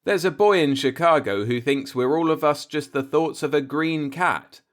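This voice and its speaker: A man's voice: on the high side, moderate pace, lightly acted. Man reading as folksy, cynical self-taught old philosopher. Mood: folksy, musing. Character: cynical, musing older working-class man, self-taught professor. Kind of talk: audiobook character monologue.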